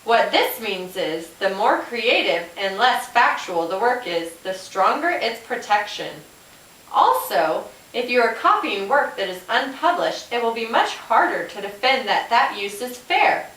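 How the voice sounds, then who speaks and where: A woman's voice: on the high side, very animated, a little slow, fairly bright tone. A woman voicing a helpful police officer puppet, giving a scripted, instructive talk to a class. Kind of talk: scripted educational puppet show. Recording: roomy, distant microphone.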